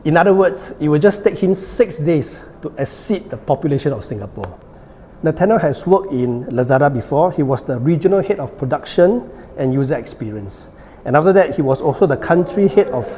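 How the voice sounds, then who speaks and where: A man's voice: fairly high pitch, animated, fairly quick, warm tone. A man hosting an interview podcast, introducing his guest with punchy, upbeat lines and asking questions. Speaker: podcast host. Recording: long reverb, faint background noise.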